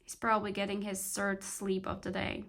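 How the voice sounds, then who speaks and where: A woman's voice: medium pitch, completely flat delivery, a little fast, gravelly, a bit bright. A woman in her twenties whose first language is Slovak, chatting upbeat to her phone camera in a casual day-in-the-life vlog from her living room. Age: 20s. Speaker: vlogger and software engineer. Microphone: phone camera at arm's length.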